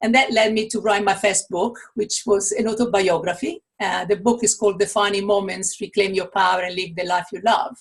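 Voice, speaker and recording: A woman's voice: mid-range voice, steady tone, fairly quick, few pauses, husky. A middle-aged woman from Italy with an Italian accent, answering interview questions as an author and coach, thoughtful, with many fillers and restarts.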